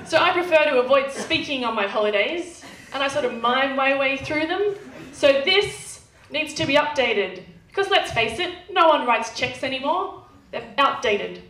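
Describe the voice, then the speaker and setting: A woman's voice: high-pitched, fairly bright tone. Brash nasal Australian woman on stage. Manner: nasal twang, sweary and brash. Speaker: stand-up comedian. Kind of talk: stand-up comedy set. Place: comedy club stage. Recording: echoey club room, distant, noisy.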